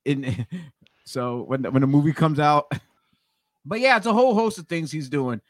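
Casual male co-host, forties, joking banter, slightly higher voice, very dry recording. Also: expressive, unhurried, no echo. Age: 40s. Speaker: co-host of a wrestling talk show. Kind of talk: casual chat.

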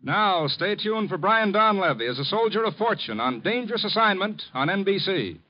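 A man's voice: high voice, big pitch swings, moderate pace, dull audio. A man announcing in formal, emphatic scripted style on a vintage 1950s radio broadcast, projecting dramatically.

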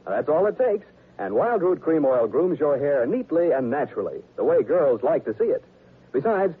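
A man with an American accent announcing a radio show and pitching its sponsor in 1940s style. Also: husky. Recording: muffled 1940s radio recording, faint music.

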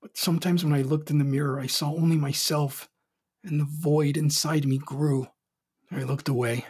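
A young emperor of an audio drama, angry and grieving underneath his pride. His voice is heavily breathy and husky, and he speaks in brooding, formal, clipped phrases. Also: a little high, fairly even intonation, moderate pace, darkish tone. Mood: bitter, guarded. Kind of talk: audio drama acting with first-person narration.

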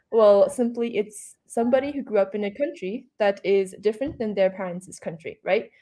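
Female moderator, mellow tone, courteous questioning style. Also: medium pitch. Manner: friendly, polite questioning. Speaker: host and moderator. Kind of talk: moderating an online panel talk.

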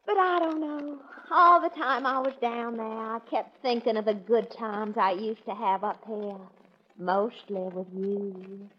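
High-pitched, coquettish Southern belle. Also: female voice, steady tone. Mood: gushing, flirty. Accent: American South. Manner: gushing, coy, drawn-out pleading. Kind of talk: 1940s radio comedy acting. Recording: dull, noisy 1940s radio recording.

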